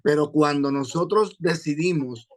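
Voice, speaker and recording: A man from Puerto Rico with a Puerto Rican accent, an evangelist giving his testimony in an interview, pausing often with "eh" and quoting scripture. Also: fairly high pitch. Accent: Puerto Rican Spanish. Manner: hesitant, many "eh" fillers, quotes scripture. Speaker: evangelist guest.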